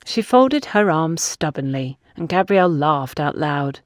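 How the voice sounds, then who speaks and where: A woman's voice: low-pitched, animated, measured pace, dry recording, clean studio sound. A woman reading scripted fiction as an audiobook narrator.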